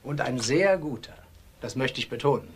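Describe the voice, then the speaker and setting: An actor playing a genial restaurant owner in a German crime radio drama. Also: male voice, medium pitch, lively intonation, moderate pace, a little hiss or hum, close to an everyday voice. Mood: indulgent.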